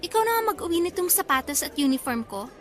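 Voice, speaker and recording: An actress playing a curt, bossy young older sister in a radio drama, brisk and demanding. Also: female voice, sits high, big pitch swings. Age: young adult.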